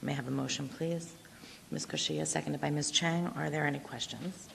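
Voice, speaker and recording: A woman chairing a school board meeting, briskly reading agenda items and calling votes, her voice going hoarse with coughs. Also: low-pitched, fairly even intonation, a bit bright. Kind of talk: formal meeting procedure. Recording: reverberant hall with faint noise.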